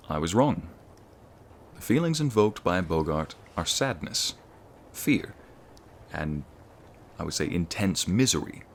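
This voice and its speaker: A man with an American accent playing an encouraging, scholarly wizard school professor in an ASMR roleplay, lecturing students in a measured, formal way. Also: low voice, a little hiss or hum. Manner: measured, formal, teacherly, addressing students directly.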